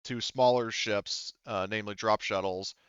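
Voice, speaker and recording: A man narrating a tabletop roleplaying game as game master, improvising in a halting, hedging way. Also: lowish voice, steady tone, fairly bright tone, clear, resonant tone, dry recording, dull audio.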